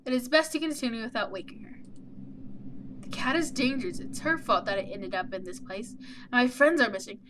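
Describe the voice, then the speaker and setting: A woman reading out the part of an anxious, lost young protagonist in a horror visual novel, measured and subdued. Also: a little high, lively intonation, fairly bright tone, a little hiss or hum. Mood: pensive. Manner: measured, subdued, stumbles over some words.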